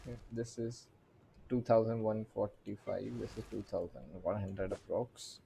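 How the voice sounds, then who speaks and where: A man's voice: on the low side, steady tone, moderate pace, gravelly, noisy background. A man giving casual, muttering and sometimes profane commentary while playing a game. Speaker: gamer commentating on playthrough.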